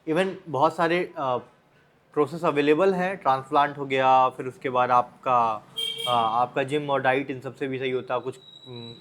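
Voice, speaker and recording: A man's voice: fairly high pitch, a bit bright. An Indian man giving informal motivational advice straight to the listener, bluntly, with rhetorical questions and repeated phrases. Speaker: advice vlogger.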